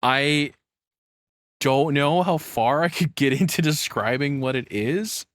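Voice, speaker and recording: A man's voice: on the high side, slow pace, a bit bright, no room sound, clean studio sound. A man giving casual reaction commentary as a streamer, rambling informally and searching for words.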